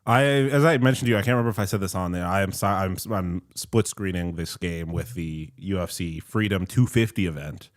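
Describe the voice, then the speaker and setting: Low-voiced joking man in his late twenties. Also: a little fast, few pauses. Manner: laughs easily, chatty and joking. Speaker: podcast co-host. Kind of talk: casual watchalong chat.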